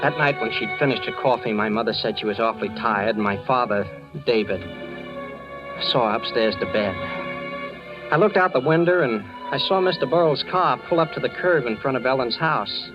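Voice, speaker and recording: A man's voice: medium pitch, lively intonation, a little fast, close to an everyday voice. An actor playing a nervous, evasive young American man with a boyish, hesitant, earnest manner in 1940s radio drama style, sometimes narrating. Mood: confiding. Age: young adult. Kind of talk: 1940s radio acting with first-person narration. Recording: dull old radio recording with music.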